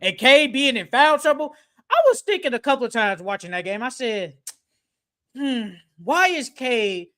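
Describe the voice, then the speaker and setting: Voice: medium pitch, expressive, normal speed, fairly bright tone. A sports podcast co-host in a casual basketball debate, speaking in an emphatic, opinionated, slangy way.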